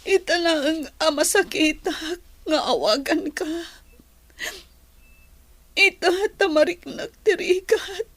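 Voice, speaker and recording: A woman's voice: extremely high pitch, fairly bright tone, no room sound, full character voice. A woman in a radio drama playing a sick, weary daughter who talks in a plaintive, pained way. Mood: shocked, despairing. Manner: slow, plaintive, pained delivery. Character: sick, weary daughter flying home from working abroad. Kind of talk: radio drama acting in Ilocano.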